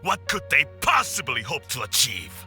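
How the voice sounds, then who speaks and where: A man's voice: medium pitch, wildly swooping pitch, moderate pace, sharp tone, soft background music, heavily acted. A man voicing a proud, fanatical middle-aged clan lord in a video game, imperious and grandiose.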